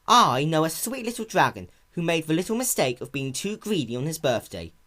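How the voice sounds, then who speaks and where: A performer voicing a caring female pony in a cartoon-based story reading, soft, patient and reassuring like a big sister. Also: mid-range voice, big pitch swings, fairly quick, pitched above the performer's own voice.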